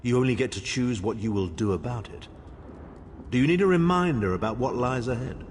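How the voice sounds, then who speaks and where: A man's voice: medium pitch, brisk, natural voice. A man playing a weary, blunt warrior comrade in a fantasy game, talking with urgent, wry conviction. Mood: firm urging. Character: gruff, weary, blunt male warrior comrade urging courage. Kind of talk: dramatic fantasy game voice acting. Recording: echoing hall, noisy ambience behind.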